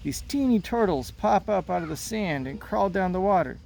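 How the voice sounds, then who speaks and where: A man reading the part of a friendly, enthusiastic young girl in a children's play, in a bright, encouraging manner. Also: sits high, expressive, normal speed, vocal fry, pitched above the performer's own voice. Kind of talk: read-aloud of a children's play. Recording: noticeable background noise.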